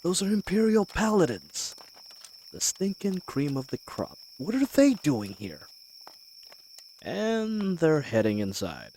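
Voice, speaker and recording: A cocky bandit leader, acted by a man, talks in a folksy, wry way with lively swings of pitch. He is wary and scheming, speaking straight to his accomplice in a fantasy audio drama. Crickets and night insects sound faintly behind him. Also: slow, a little hiss or hum, exaggerated voice, low-quality recording. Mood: wary, annoyed. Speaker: voice actor in a scripted roleplay audio. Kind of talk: scripted fantasy audio roleplay, addressing the listener.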